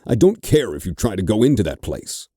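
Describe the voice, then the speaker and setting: A man's voice: on the low side, very animated, a little fast, mellow, slightly rough, no echo, studio quality, voice pushed up. A man doing a defiant young adventurer. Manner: terse, defiant. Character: young male adventurer, defiant under pressure.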